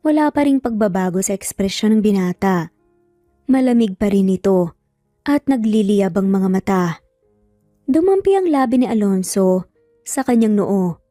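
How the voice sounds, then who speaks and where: A woman's voice: mid-range voice, lively intonation, normal speed, pauses often, mellow, clean studio sound. A woman with a Filipino accent narrating a scripted romance story in a dramatic storytelling style. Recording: roomy echo, faint music behind. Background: faint background music.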